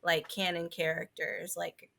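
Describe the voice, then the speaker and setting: A woman's voice: on the low side, flat delivery, unhurried, gravelly, pushed and bright. A woman co-hosting a podcast, talking casually and informally about video games, often saying "like". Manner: informal, full of "like". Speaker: podcast co-host.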